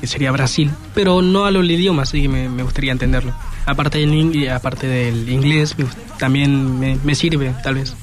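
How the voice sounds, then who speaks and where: A teenage boy, chatting casually and haltingly as a student guest. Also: medium pitch, steady tone, soft background music. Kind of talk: casual chat.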